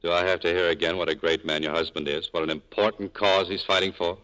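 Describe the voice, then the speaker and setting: Cynical cafe owner, deep bass register, terse and wounded, vintage radio drama delivery. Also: male voice, steady tone, quick pace, fairly bright tone, rough recording. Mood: sardonic. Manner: terse, sardonic. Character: bitter, cynical cafe owner, hard-shelled former lover. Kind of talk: 1940s radio drama acting. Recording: old 1940s radio broadcast.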